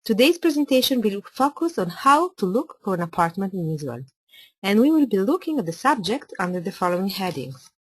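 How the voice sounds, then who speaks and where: A woman from Italy, a native Italian speaker with an Italian accent, presenting a webinar as an architect in careful, slightly halting English. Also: medium pitch, big pitch swings, breathy edge, no echo.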